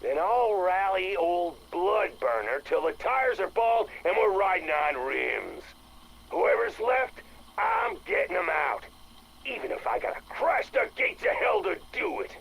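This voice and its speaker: Defiant folksy biker, raised pitch, very lively intonation, game radio drama. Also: male voice, a little slow, vocal fry, slight background noise, full character voice, rough recording. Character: stubborn, devout small-town biker bent on rescuing survivors.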